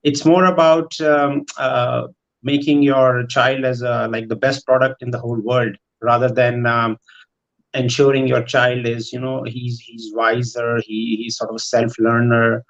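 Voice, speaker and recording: Man speaking haltingly, dull audio. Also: medium pitch, little pitch movement, a bit bright. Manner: hesitant, halting delivery. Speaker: panelist. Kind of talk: thoughtful panel discussion talk.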